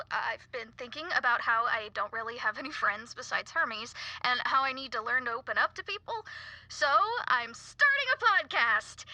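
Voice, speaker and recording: A game's teenage girl who fancies herself a mystery podcaster. The voice is very sharp and forward, fairly high and raspy, with earnest, pompous drama. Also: no echo, full character voice, low-quality recording. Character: eager, self-important teenage girl ghost-mystery podcaster. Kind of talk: video game dialogue, mock podcast narration.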